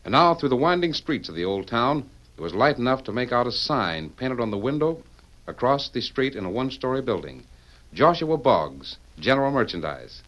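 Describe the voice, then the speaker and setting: A man's voice: mid-range voice, big pitch swings, moderate pace, a bit bright, lo-fi sound. A man narrating a radio adventure in a dramatic, declamatory 1940s style. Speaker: announcer and narrator. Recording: hissy vintage radio recording.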